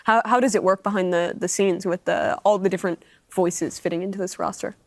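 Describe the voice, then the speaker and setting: A woman's voice: mid-range voice, steady tone, a little fast, dry recording. A woman interviewing players, asking long structured questions.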